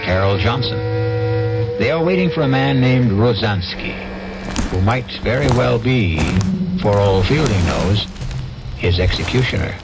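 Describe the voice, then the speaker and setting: A middle-aged man narrating a 1970s radio mystery drama in a measured, grave storytelling manner. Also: medium pitch, animated, vocal fry, over loud music.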